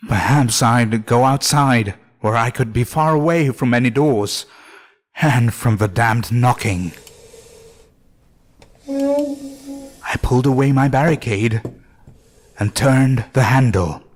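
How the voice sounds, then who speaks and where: A man's voice: medium pitch, very animated, takes it slowly, long pauses. A man giving a dramatic first-person narration of a scripted horror story. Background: faint music.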